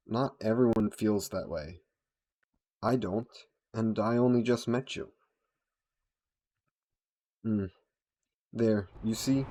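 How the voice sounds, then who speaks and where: Man as a slow, low-voiced courtly vampire. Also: a little hiss or hum. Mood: courtly, charming. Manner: formal, gentlemanly, coaxing, unhurried. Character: courtly, seductive male vampire, hungry and predatory. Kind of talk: scripted roleplay audio, intimate monologue to the listener.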